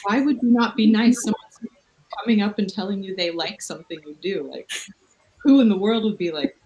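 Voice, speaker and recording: A woman's voice: mid-range voice, a little fast, darkish tone, poor audio. A woman in her thirties chats with fans over a video call from a hotel room late at night. She rambles loosely, pokes fun at herself and drifts from one thought to the next, in an ordinary mid-range voice. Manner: loose, rambling, self-mocking. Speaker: livestream host.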